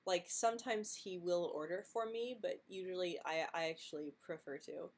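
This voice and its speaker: Young woman who stutters, lowish soft voice, chatting live on stream, laughs a bit between answers. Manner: stutters with frequent sound and word repetitions. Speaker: vlogger answering viewer questions live. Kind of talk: live stream Q&A, casual chat.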